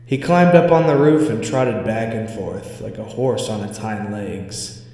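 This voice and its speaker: A man's voice: lowish voice, a little slow, dark tone. A man narrating a scripted spooky story as a storyteller. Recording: echoey reverberant hall sound.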